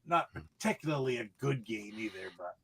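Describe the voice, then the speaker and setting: A man's voice: fairly low pitch, expressive, normal speed, fairly bright tone, slightly rough. A man talking casually as a panelist in a group chat show. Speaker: podcast panelist.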